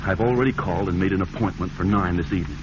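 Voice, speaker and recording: A smooth, calculating nephew, acted by a man, with a very deep voice and a glib, outwardly earnest delivery. It is old-time radio acting on a dull recording with light noise. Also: very fast, lightly acted. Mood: cold, confident. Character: cold, scheming nephew, smooth-talking and feigning concern. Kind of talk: 1940s radio drama acting. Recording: noisy, muffled 1940s radio recording.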